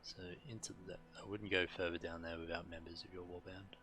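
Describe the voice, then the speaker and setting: Deep voiced guy doing game commentary, monotone but bright edge to it, bit of noise behind. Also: fairly quick. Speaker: video game streamer. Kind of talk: casual game commentary, reading on-screen text aloud.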